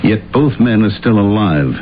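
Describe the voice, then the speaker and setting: An actor playing a shrewd, composed American police detective in a radio drama, measured and matter-of-fact. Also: male voice, on the low side, expressive, close to an everyday voice. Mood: shrewd, calm. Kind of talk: 1970s radio drama acting. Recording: muffled, faintly noisy old radio broadcast.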